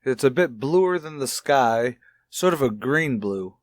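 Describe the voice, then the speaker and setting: An actor playing a kindly child psychologist in a mid-century radio soap opera, speaking in a measured, coaxing way. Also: male voice, medium pitch, animated, no room sound, clean studio sound, close to an everyday voice. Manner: measured, coaxing, gently probing. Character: kindly, probing male child psychologist, a father. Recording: vintage radio recording with music.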